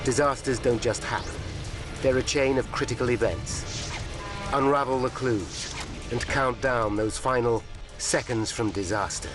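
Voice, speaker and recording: A man's voice: mid-range voice, a little slow. A British man reading scripted disaster documentary narration in a grave, suspenseful, dramatic manner. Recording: loud dramatic music underneath. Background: dramatic music and disaster sound effects.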